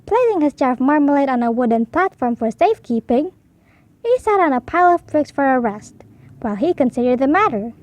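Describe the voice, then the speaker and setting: Animated high-voiced woman reading a storybook. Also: fairly quick. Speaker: storybook reader. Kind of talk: expressive read-aloud narration for young children.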